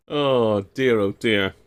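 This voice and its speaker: A man's voice: medium pitch, clean tone. A man hosting a live stream about radio gear, chatting casually and laughing at his own mistakes as he works, his voice moving nearer and farther from the mic. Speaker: radio hobby livestream host.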